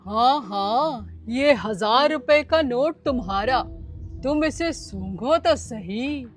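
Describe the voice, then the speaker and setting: A woman's voice: on the high side, animated, slow pace, soft background music. A woman playing a sly, scheming stranger who lures children, in an acted moral-story skit. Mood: coaxing.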